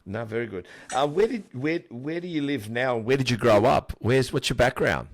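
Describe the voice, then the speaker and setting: A man hosting a podcast, asking questions and backing up his guest with many quick yeahs. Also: mid-range voice, lively intonation, dry recording. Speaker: podcast host. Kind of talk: casual interview chat.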